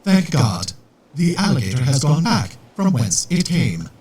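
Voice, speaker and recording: A man playing an excitable, whimsical eccentric in a comedic space audio drama. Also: on the high side, a little slow, roomy sound, recorded from a distance, very noisy. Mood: wistful, resigned.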